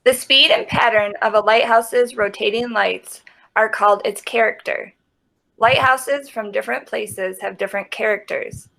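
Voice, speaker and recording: A woman's voice: fairly high pitch, fairly even intonation, normal speed, gravelly, fairly bright tone, rough recording. A woman reading a children's nonfiction book aloud.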